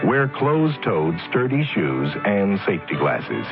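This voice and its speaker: A man's voice: sits low, wildly swooping pitch, a little slow, vocal fry. A man reading a scripted public service announcement as a radio announcer. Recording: tinny radio broadcast, music behind.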